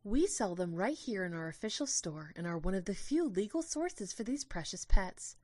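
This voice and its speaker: A woman voicing a gentle, petite female tour guide who explains things politely to a group, in an audio drama. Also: mid-range voice, very animated, normal speed, no room sound, pitched above the performer's own voice. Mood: pleasant. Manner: explanatory, polite public address.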